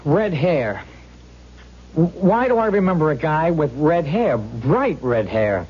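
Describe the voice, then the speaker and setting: A man playing a hesitant, puzzled police subordinate in a 1970s radio drama, halting and musing. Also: a little high, big pitch swings, husky, exaggerated voice. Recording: muffled, humming vintage radio recording.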